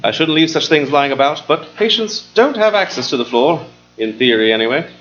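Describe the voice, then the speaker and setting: An actor playing a calm asylum doctor in a live radio play, controlled and explanatory. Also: male voice, on the high side, a little fast, highs cut off. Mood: explaining. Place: stage before a live audience.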